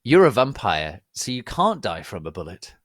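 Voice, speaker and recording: A man's voice: on the low side, sing-song, moderate pace, no echo, studio quality. A man voicing a suave, melodramatic young gothic villain in a story reading, overdramatic yet reassuring.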